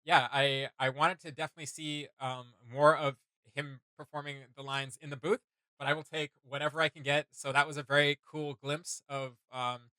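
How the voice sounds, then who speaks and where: A man with a bright, forward voice talks casually as the host of a reaction show. He rambles enthusiastically, thinking out loud as he goes. The sound is close and dry. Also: fairly high pitch, level tone, moderate pace, clear, resonant tone, no room sound, rough recording. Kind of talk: casual chat.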